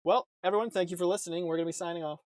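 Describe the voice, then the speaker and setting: A man with a fairly high voice hosts a podcast from a small closet. His talk is casual and comic. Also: fairly even intonation, racing, crowd noise. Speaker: podcast host.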